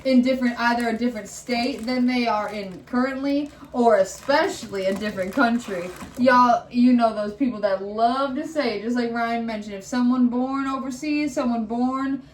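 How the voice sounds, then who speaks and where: A woman's voice: a little high, normal speed, hardly any pauses. A young woman from Michigan co-hosting a casual vlog chat, rambling with lots of fillers and frequent giggles. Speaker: vlog co-host. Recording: echoey room with faint background noise.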